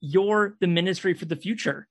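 Man acting a desperate, traumatised kidnapper, higher-pitched voice. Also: moderate pace, fairly bright tone. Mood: accusing, insistent. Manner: accusatory, insistent, clipped. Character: traumatised, desperate male kidnapper confronting his captive. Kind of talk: read radio drama dialogue.

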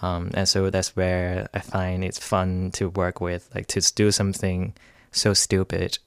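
A low-pitched man with a Hong Kong accent talks as an interview guest. His delivery is conversational and explanatory, like an artist discussing work on radio. The studio sound is dry, with very little room to it. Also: completely flat delivery, unhurried, clear, resonant tone, dry recording.

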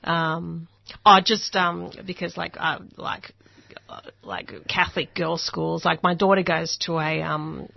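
A woman's voice: on the low side, flat delivery, a little slow, vocal fry, highs cut off. A woman in her forties with an Australian accent, a musician guest talking casually and hesitantly, with many ums and likes. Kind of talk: casual interview.

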